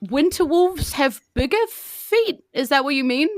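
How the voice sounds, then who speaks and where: A woman's voice: high-pitched, animated, a little slow, no echo. A woman with a New Zealand accent, improvising casually as the game master of a tabletop role-playing game.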